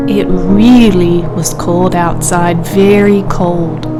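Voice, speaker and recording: A woman narrating a children's picture book expressively, with measured, dramatic delivery and stress on action words. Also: medium pitch. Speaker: storyteller reading a picture book. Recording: music behind the voice. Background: music.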